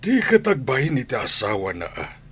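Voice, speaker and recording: A man's voice: mid-range voice, wildly swooping pitch, moderate pace, fairly bright tone, a little hiss or hum. A man playing a stern, concerned old grandfather in a radio drama, with an Ilocano accent and an admonishing way of talking. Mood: disapproving. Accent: Ilocano Filipino. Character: stern, concerned old grandfather scolding his gambling daughter.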